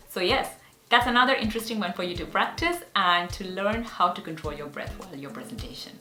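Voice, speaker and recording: Bright, upbeat Hindi-accented woman. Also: medium pitch. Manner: upbeat, earnest explaining. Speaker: actor and speech coach. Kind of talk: casual teaching vlog. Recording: echoey room with faint music.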